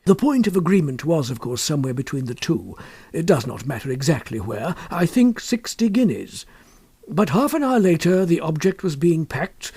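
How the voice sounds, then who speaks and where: A man's voice: on the high side, dark tone, breathy edge, dry recording. A man reading a literary story as an audiobook narrator.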